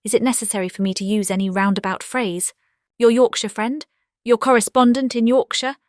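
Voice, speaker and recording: A woman playing a confident, witty young gentlewoman in an audiobook drama, with a British accent and an expressive, self-assured delivery. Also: on the high side, fairly quick, dry recording, clean studio sound, natural voice. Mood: musing, resolute. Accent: British English. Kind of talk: dramatized classic novel audiobook.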